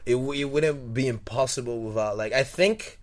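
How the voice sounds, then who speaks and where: A man's voice: mid-range voice, normal speed. Reflective young man from Togo. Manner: rambling, repeats words and restarts, reflective. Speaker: guest. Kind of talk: casual interview.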